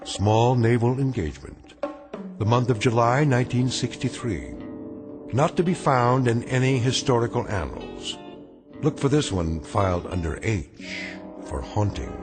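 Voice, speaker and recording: Ominous narrator for spooky radio show, clipped and measured, music loud behind him. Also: male voice, medium pitch, lively intonation. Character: grave, measured male narrator of eerie tales. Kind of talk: scripted anthology radio narration.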